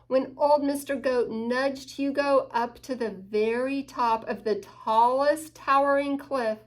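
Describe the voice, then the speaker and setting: A woman reads a children's storybook aloud in a fairly high voice. She takes her time, very slowly and deliberately, with expressive phrasing meant for young listeners. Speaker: storytime reader. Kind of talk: children's picture-book read-aloud with asides to young listeners.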